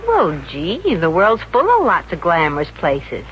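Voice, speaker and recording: A woman's voice: lowish voice, wildly swooping pitch, measured pace. An actress playing a wry, slangy, good-hearted young American showgirl secretary in a 1950s radio comedy. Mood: wry, breezy. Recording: muffled, noisy 1950s radio recording.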